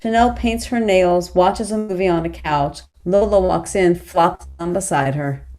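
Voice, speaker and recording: A woman's voice: on the low side, measured pace, darkish tone. A woman reading stage directions as scripted narration at a table read.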